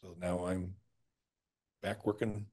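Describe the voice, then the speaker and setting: A man as a podcast guest, recalling his career in a halting, plainspoken way. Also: low voice, level tone, a little mellow. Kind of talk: casual career recollection.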